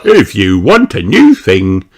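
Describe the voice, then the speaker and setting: A man's voice: lowish voice, wildly swooping pitch, slow pace, a little mellow, full character voice. A man doing a proud fox trader. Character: boastful friendly fox with a van. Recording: music playing behind.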